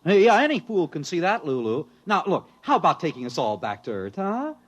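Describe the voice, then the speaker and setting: A man playing a wisecracking, excitable spaceship crewman with a husky voice in a 1950s radio drama. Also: a little high, fairly quick. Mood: sarcastic.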